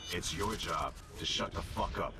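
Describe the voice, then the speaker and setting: An actor playing a tough, blunt, profane Marine instructor briefing recruits in a video game. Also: male voice, deep voice, normal speed, over music, lightly acted. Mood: commanding, gruff. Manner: commanding, blunt. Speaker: military instructor. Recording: distant voice under loud vehicle noise.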